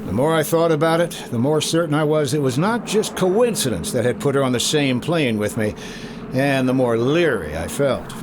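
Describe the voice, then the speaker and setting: An actor playing a wry, breezy freelance insurance investigator, narrating in clipped hardboiled 1950s radio style. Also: male voice, medium pitch, animated, moderate pace, background noise, close to an everyday voice. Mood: wry, suspicious.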